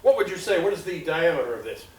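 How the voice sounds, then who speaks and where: A man playing an awed, eager 1930s radio field reporter, halting as he describes things in a stage drama. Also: a little high, very animated. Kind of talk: 1930s radio commentary acting. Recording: noisy, distant, echoey stage recording.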